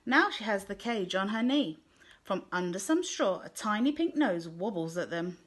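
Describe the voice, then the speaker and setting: A woman reading a children's picture book aloud in a gentle, clear storytelling manner. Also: mid-range voice, animated, moderate pace. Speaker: storybook reader.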